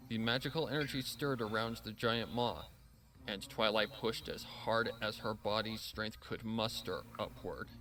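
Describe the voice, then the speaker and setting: Deep, very bright male story narrator. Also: steady tone, unhurried, soft background music, rough recording. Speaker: narrator reading fan fiction. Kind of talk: dramatic scripted story narration.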